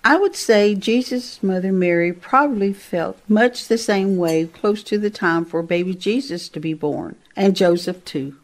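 A woman's voice: mid-range voice, measured pace, few pauses, close to an everyday voice. A woman playing a doting, sentimental motherly lady in a radio drama. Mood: soothing. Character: doting, sentimental motherly older relative of the bride.

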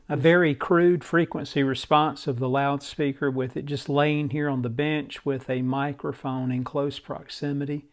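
A man giving a hands-on workbench demonstration as host, speaking in a halting, unhurried, matter-of-fact way. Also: medium pitch. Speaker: host demonstrating a vintage radio repair. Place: indoor workbench.

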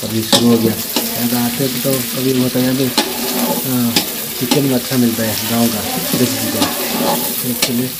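A man's voice: mid-range voice, level tone, normal speed. A man in his thirties narrates a casual village vlog with an Odia accent. He explains things simply and tends to repeat himself. The phone recording is noisy, picked up at arm's length. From Odisha, India. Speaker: village vlogger.

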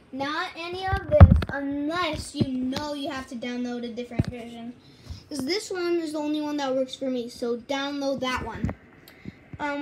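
Female tutorial host, high voice, casual and halting step-by-step delivery, noticeably noisy handheld recording. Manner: halting, repeats and corrects herself. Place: indoors at a home computer. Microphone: handheld phone or camera, filming screen.

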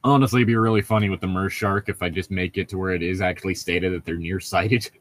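A man's voice: deep voice, fairly even intonation, fast, slight background noise. A man chatting casually with friends and reading aloud, with a wry, joking manner. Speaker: co-host reading a book aloud for commentary. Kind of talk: casual chat and read-aloud among friends.

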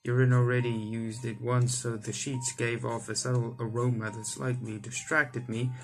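Young adult male gaming host, mid-pitched, casually reading story text aloud with off-the-cuff remarks, music underneath. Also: level tone, moderate pace. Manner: stumbles over text and rereads lines. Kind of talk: reading visual novel aloud with casual commentary. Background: game music.

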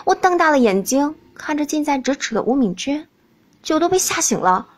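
A woman reading a novel as an expressive audiobook narrator. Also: high voice, moderate pace.

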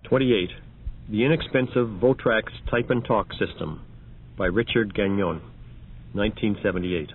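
A man reading scripted announcements for an audio demonstration in a measured, matter-of-fact way. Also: on the low side, breathy edge. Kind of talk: scripted announcing of numbered examples. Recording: muffled, phone-like archival recording.

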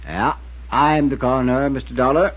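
An actor as husky, folksy rural American constable. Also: male voice, mid-range voice, moderate pace, natural voice. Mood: laconic, sly. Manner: hesitant, plain-spoken drawl. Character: folksy, stubborn, laconic male small-town constable. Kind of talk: 1950s radio acting. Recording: tinny, narrow 1950s radio recording.

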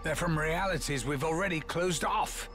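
A man's voice: a little high, normal speed, lightly acted. An actor playing an irritable, whimsical, all-powerful older man in a game, musing theatrically and with condescension. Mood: musing, exasperated. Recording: loud music behind.

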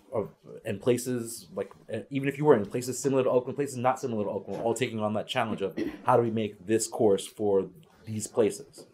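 A man's voice: on the low side, a little mellow. A middle-aged man who coaches ethnic studies teachers, speaking earnestly at a panel table in a lecture room, with frequent restarts and fillers. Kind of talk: panel talk to students. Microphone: far camera.

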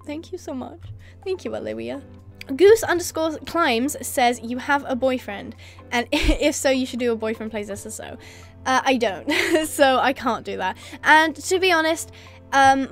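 A young English woman, a YouTuber, chatting casually to camera as she answers viewer comments, with frequent ums and laughs. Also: sits high. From England. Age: young adult. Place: indoors in front of a green screen. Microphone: facing camera at close range.

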